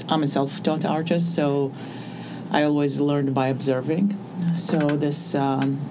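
Very low-voiced woman, hesitant. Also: noisy background. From Iraq. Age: 40s. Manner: hesitant, with many pauses and fillers. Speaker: artist interviewee. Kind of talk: reflective interview answers. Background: soft music underneath.

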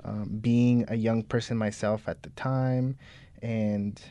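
A man's voice: on the low side, fairly even intonation, takes it slowly, mellow, no echo. A man in his twenties from Mexico, a program coordinator, speaking earnestly in a radio interview with occasional fillers. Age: 20s.

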